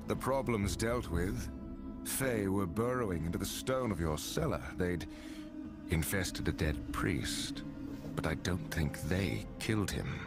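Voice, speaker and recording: Deep-voiced, grim warrior hero. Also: male voice. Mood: grave, blunt. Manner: grave, blunt, measured. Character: grim, questioning male wandering warrior hero. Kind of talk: dramatic game voice acting. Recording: game music behind the voice.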